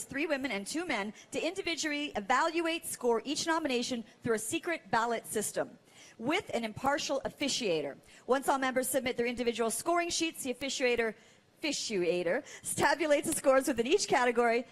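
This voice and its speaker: A woman's voice: high-pitched, lively intonation, a little fast. A woman co-hosting a live awards ceremony, moving between scripted announcements and off-the-cuff remarks. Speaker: awards gala co-host.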